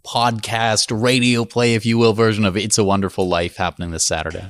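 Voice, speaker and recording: A man's voice: fairly low pitch, level tone, a little fast, a bit bright, smooth, no room sound. A man hosting and asking questions on a radio interview show.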